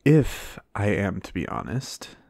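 A man playing a reserved, dry-witted American knight in a fantasy ASMR roleplay, speaking in measured, formal banter. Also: lowish voice, wildly swooping pitch, gravelly, a little mellow, no echo, full character voice. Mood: reluctant admission.

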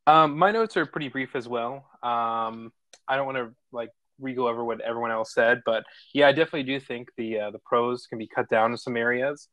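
A man's voice: medium pitch, brisk, fairly bright tone, no room sound. A man chatting casually and enthusiastically in a rambling, informal way as host of an online stream. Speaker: host and narrator of online script table reads. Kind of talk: casual feedback chat.

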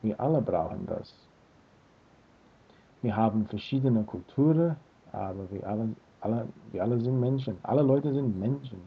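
Australian guy in his 30s, slow and hesitant, gravelly raspy voice, tinny webcam audio. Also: medium pitch, lively intonation. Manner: hesitant, searching for words with many fillers. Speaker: language learner vlogger. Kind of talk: casual talk to camera. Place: small room indoors. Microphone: webcam built-in mic. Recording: tinny phone-like lo-fi sound.

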